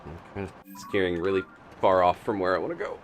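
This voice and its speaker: A man's voice: low voice, fast talker, faint music behind. A man giving casual live gameplay commentary as a streamer, thinking aloud as he plays. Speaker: game streamer, host.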